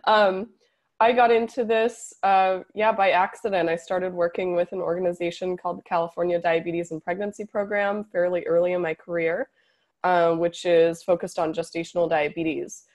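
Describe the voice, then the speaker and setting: A woman dietitian, a podcast guest with a slightly hoarse voice, explaining fluently. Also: fairly low pitch, fairly even intonation, normal speed, darkish tone. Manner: fluent, explanatory, occasional laughs. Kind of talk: podcast interview.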